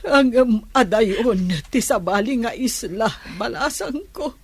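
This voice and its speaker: An actress plays a sorrowful, anxious Filipino mother in a radio drama. She speaks very slowly in a rough, husky voice, recorded dry. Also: on the high side, animated, darkish tone, no echo, heavily acted. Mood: anxious, tearful. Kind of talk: Ilocano radio drama acting.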